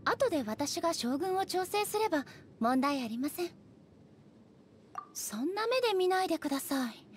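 A woman playing a composed, aloof deity ruler in an anime game, speaking formally and in a measured way. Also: very high-pitched, very animated, a little hiss or hum. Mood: puzzled, questioning. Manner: formal, measured polite speech. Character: composed, dignified female deity ruler, aloof and literal-minded.

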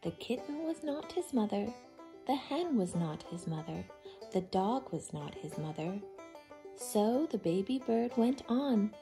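A woman reading a picture book aloud expressively to young children, in simple, deliberate phrases. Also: medium pitch, very animated, over music. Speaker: children's storytime reader.

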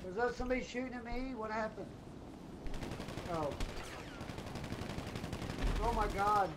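A man's voice: high voice, a little slow. A man playing an online game, chatting casually with his teammates. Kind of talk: casual gameplay chat. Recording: noisy with game gunfire behind. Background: video game gunfire.

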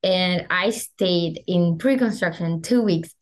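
A young Venezuelan woman whose first language is Spanish talks in a slightly low voice, animated and quick with fillers. She sounds like a guest on a video call from a small room at home. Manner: animated, gestures a lot, many "like" fillers. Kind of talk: casual interview chat. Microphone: video call webcam.

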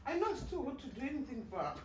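A woman's voice: sits high, sing-song, fast talker. A woman, the mother, chatting and giving practical directions to her family while cleaning her home for a vlog. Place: home kitchen indoors. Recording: distant phone mic in an echoey home with noise.

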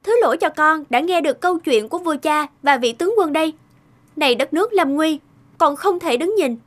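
High-pitched brave young princess. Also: female voice, animated, fairly quick. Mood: earnest, resolute. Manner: earnest, formal, resolute. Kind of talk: Vietnamese fairy-tale cartoon dub.

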